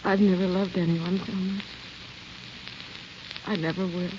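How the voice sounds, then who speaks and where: An actress playing a passionate, devoted young niece in love, earnest and pleading, in an old radio drama. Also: female voice, fairly low pitch, level tone, unhurried, close to an everyday voice. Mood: anguished hope. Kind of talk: 1940s radio drama acting. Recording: muffled, crackly old radio broadcast.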